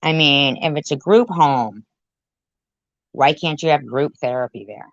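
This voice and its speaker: Slow, blunt woman commenting. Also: low-pitched, no echo. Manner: blunt, sarcastic, drawn-out remarks. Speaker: commentary YouTuber. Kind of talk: reaction commentary. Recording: dry, muffled lo-fi sound.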